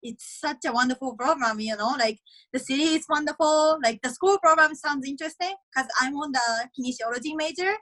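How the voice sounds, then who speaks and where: A woman's voice: high voice, a little fast, a bit bright, low-quality recording. A young woman from Japan with a Japanese accent, a college tennis player chatting in an interview, speaking non-native English full of "like" and "you know" and laughing often. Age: young adult. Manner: giggly, many fillers like "like" and "you know". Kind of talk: casual interview, video call.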